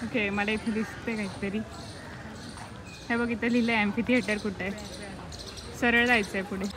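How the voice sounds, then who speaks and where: A woman's voice: mid-range voice, steady tone, normal speed. A woman chatting casually as she hosts a vlog. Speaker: vlogger, host. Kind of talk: casual vlog chat to camera and companions. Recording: crowd chatter and outdoor campus noise.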